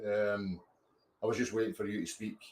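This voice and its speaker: A reserved man, interviewed as a guest over a live call, speaks softly and hesitantly with many restarts. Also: lowish voice, flat delivery, moderate pace. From Scotland. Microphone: remote call mic. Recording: distant echoey mic on a live call.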